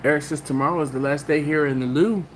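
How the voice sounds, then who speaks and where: A man's voice: fairly high pitch, steady tone, quick pace, background noise. A man hosting a casual livestream, reading chat comments aloud and rambling with laughs and restarts. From Maryland, US. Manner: rambling, laughs often, self-interrupting.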